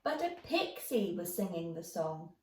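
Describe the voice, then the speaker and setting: A woman storyteller reading a rhyming children's tale in an expressive storybook style. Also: lowish voice, unhurried. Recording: roomy, echoey, distant mic.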